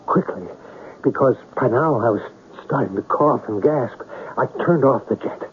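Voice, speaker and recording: An actor playing a proud, dreamy writer in a mystery radio drama, theatrical and deliberate, lingering over his phrases. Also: medium pitch, natural voice. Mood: dramatic, hushed. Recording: narrow tinny radio broadcast, faint hiss.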